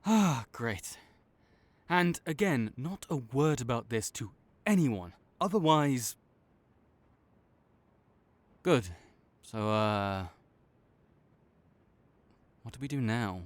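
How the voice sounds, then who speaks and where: A man playing a tsundere supervillain in an audio roleplay, halting and exasperated, teasing and reluctantly caring toward a rival. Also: mid-range voice, expressive, no room sound. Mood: awkward. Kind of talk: intimate audio roleplay addressed to the listener.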